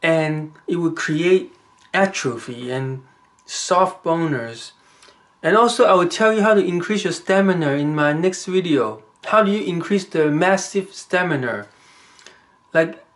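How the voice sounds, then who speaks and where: A man with a Chinese accent, giving rambling, halting advice to the camera in a casual vlog, with many fillers and restarts. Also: a little high, a bit bright. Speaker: vlogger.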